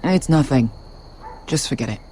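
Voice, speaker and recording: A man's voice: mid-range voice, animated, normal speed, mellow, slight background noise, natural voice, rough recording. Casting: male actor for proud, touchy game mercenary, mid-range voice, night ambience faintly behind. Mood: curt, defiant. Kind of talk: video game voice acting.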